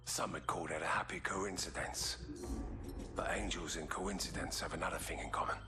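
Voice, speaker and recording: A very low, sardonic male voice of a vengeful soldier in a video game, acted by a man. He speaks with bitter menace. Loud music swells behind him. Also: fairly quick, natural voice. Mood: brooding. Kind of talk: dramatic video game narration and dialogue.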